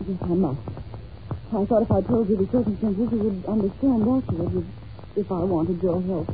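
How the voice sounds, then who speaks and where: A woman's voice: mid-range voice, moderate pace, natural voice. An actress playing a timid, anxious, polite young governess in a radio drama, hesitant and faltering in her speech. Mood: anxious, earnest. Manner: hesitant, faltering, breaks off and repeats. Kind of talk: old-time radio drama acting. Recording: muffled, noisy old-time radio recording.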